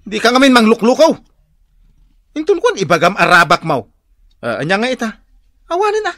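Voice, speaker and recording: Animated, high-pitched Ilocano exasperated, scolding husband, bright and emphatic, radio comedy. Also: male voice, brisk, no echo, studio quality, heavily acted. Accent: Filipino, Ilocano. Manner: scolding, emphatic, swooping delivery. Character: scolding, exasperated village husband, stern and mocking.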